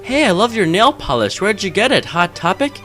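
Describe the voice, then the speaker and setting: A man's voice: high voice, sing-song, normal speed, a bit bright, soft background music, voice pushed up. A man voicing a young, breezy, foul-mouthed goth woman teacher in a story reading. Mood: perky.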